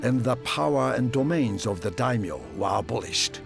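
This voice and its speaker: A man acting as the solemn narrator of a historical strategy game, telling a war chronicle in a measured, grave, dramatic way. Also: medium pitch, lively intonation, creaky. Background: prominent music.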